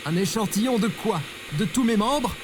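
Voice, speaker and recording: A man's voice: sits high, normal speed, noisy background, natural voice. A man playing a skeptical, exasperated everyman in an audio comedy, with a dry manner. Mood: panicked. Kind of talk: audio fiction comedy acting.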